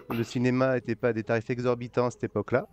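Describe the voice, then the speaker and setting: A man in his forties, a new panelist on a film podcast, telling stories in a relaxed, unhurried way. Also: medium pitch. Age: 40s. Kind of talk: casual film chat.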